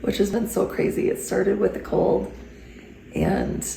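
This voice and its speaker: Woman, nearly voiceless, strained whisper, dark mellow tone, lively pitch. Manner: slow, strained, breathy delivery. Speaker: inspirational speaker and channel host. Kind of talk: personal video update. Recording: echoey room, faint background noise.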